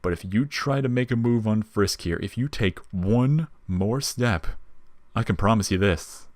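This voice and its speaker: A man voicing a wry, laid-back, quietly protective skeleton in an audio story, with casual slangy talk. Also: lowish voice, measured pace, dry recording, pitched above the performer's own voice, lightly acted. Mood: quietly threatening. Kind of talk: dramatic audio fiction character reading.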